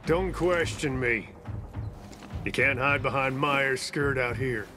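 An actor playing a gruff, bossy military lieutenant in a video game, curt and commanding. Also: male voice, mid-range voice, takes it slowly, natural voice. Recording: game music behind.

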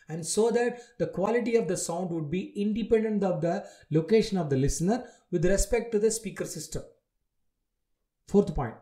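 A man with a fairly high, soft-toned voice delivers a prepared lecture. He reads deliberately, breaking the material into short phrases and numbered points. The timbre is mellow rather than sharp. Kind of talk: scripted lecture read from slides.

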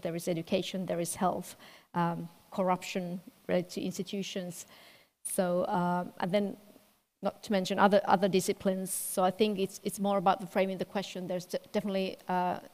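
A woman on a panel giving a measured comment, steady and peppered with "you know". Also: fairly low pitch. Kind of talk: panel discussion. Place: large event hall on stage. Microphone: headset mic. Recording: long hall reverb.

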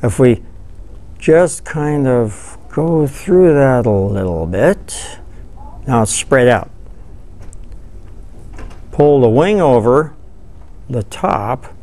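An older man giving a relaxed, folksy fly-tying tutorial, thinking aloud as he works at a table in a studio. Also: medium pitch, slow pace, long pauses. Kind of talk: hands-on tutorial. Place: studio with dark backdrop, seated at table.

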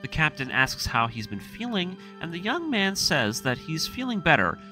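A man's voice: a little high, very animated, normal speed, sharp tone. A man hosting a video series, narrating a recap in a conversational, semi-scripted way. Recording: music playing behind the voice. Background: music.

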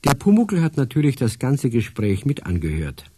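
A man's voice: mid-range voice, wildly swooping pitch, moderate pace, mellow, breathy edge, no echo. A man narrating a radio play as a storyteller.

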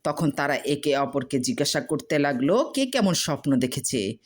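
A woman's voice: deep voice, very animated, moderate pace. A woman storyteller giving a scripted narration of a children's tale.

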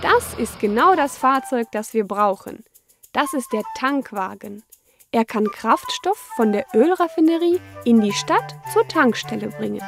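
A woman with a fairly high voice narrates a children's cartoon from a script. She explains things step by step in an instructive way, addressing young listeners with questions. Music plays clearly behind her. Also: lively intonation, unhurried. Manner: instructive, asking questions and answering them. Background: background music.

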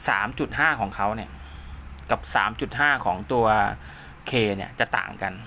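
Male DIY tutorial host: bright mid-pitched voice, informal and repetitive, throws in spoken sound effects, slightly noisy recording. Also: moderate pace. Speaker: host of a DIY radio repair channel. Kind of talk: informal hands-on tutorial, talking while drawing diagrams.

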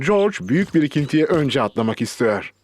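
A man narrating a preschool cartoon as a cheerful storyteller, in simple, clearly phrased sentences for young children. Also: a little high, sing-song, moderate pace, darkish tone, a little hiss or hum.

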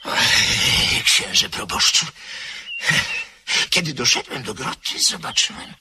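An actor playing a nervous, short-sighted gentleman who stammers through an anxious retelling in a radio play. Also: mid-range voice, expressive, takes it slowly, slightly rough, exaggerated voice. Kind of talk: radio drama acting. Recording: old radio play with faint hiss.

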